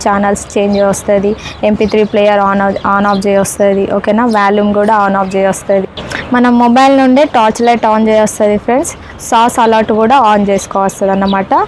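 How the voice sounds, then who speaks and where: A woman's voice: medium pitch, a little mellow, a little hiss or hum. A woman with a Telangana Telugu accent giving a casual hands-on product demonstration, explaining step by step and often checking in with viewers. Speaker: product reviewer.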